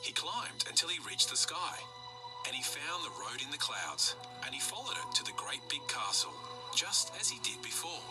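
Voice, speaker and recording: Animated woman reading a children's story. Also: sits low, normal speed, over loud music, rough recording. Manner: animated, expressive narration.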